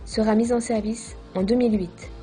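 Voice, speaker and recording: A woman reading a scripted documentary narration in a measured, formal manner. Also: fairly high pitch, fairly even intonation, mellow, faint music behind.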